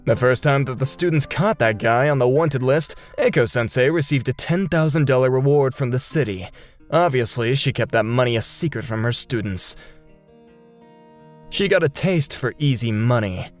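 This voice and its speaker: A man's voice: medium pitch, lively intonation, normal speed, faint music behind, lightly acted. A man playing an earnest, wronged but forgiving young man who narrates his story in a manga dub. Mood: explanatory. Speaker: narrator and lead character.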